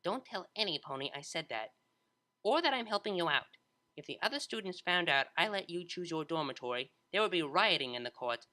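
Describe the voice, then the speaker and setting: A woman's voice: on the low side, lively intonation, a little fast, bright, forceful tone. A woman playing a friendly, distinguished older pony official in an audio story, composed and authoritative. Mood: stern.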